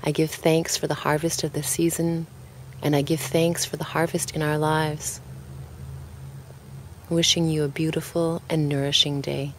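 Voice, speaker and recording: Low-pitched woman guiding a calm relaxation. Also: a little hiss or hum. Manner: calm, unhurried, gently spoken with pauses. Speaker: guided relaxation narrator. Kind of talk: guided relaxation, scripted narration.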